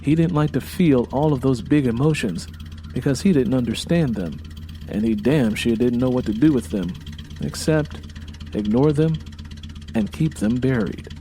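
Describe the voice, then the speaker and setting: An American man narrating a dramatic story from a script in a deliberate, expressive way. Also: mid-range voice. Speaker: audiobook narrator. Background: faint music bed.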